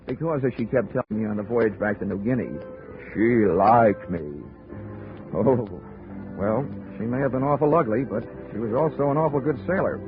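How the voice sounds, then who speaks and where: A man's voice: mid-range voice, moderate pace, close to an everyday voice. An actor playing a wisecracking male insurance investigator, narrating his case in 1940s radio drama style with wry, dry asides. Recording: tinny 1940s radio broadcast, faint music.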